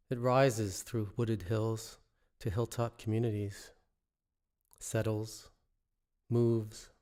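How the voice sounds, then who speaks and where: A man reads his own poetry in a measured, deliberate way, pausing between short phrases. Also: on the low side, a little mellow. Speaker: poet reading own work. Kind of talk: poetry reading. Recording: musical score under the voice. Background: original instrumental music.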